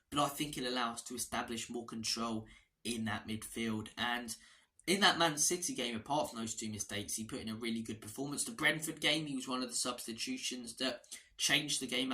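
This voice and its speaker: A hoarse man talks through a football preview in a loose, chatty monologue. His voice sounds bright and forceful. He wanders off topic and restarts phrases as he goes. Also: medium pitch. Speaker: fan channel host.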